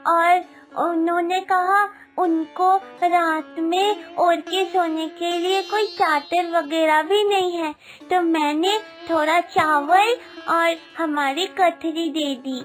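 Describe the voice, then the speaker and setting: A kind, earnest little village girl from a cartoon moral story. The voice is extremely high and childlike, with slow, innocent delivery. Music plays softly behind it. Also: fairly even intonation. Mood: earnest, apologetic.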